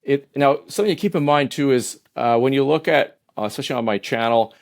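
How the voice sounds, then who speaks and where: A Canadian man in his fifties, a podcast guest answering in a relaxed, hesitant way with many "uh"s. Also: medium pitch, brisk. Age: 50s. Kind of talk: casual interview answers.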